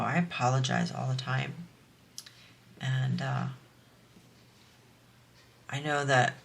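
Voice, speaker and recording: Crafting vlogger, woman, nasal and bright, chatty and meandering. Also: deep voice, gravelly. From US East Coast. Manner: rambling, chatty, slow. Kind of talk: casual chat while diamond painting.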